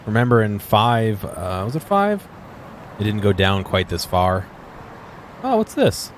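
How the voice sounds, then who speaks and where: Low-voiced man musing over gameplay, some noise. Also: sing-song, a little slow. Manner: musing aloud, asking himself questions. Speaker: video game streamer and commentator. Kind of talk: casual unscripted gameplay exploration commentary.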